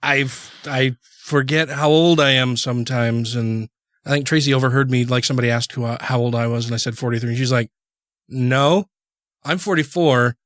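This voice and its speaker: A man in his forties hosting a podcast in casual chat, rambling with halting restarts. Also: mid-range voice, steady tone, fairly bright tone, no room sound, studio quality. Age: 40s. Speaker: podcast host.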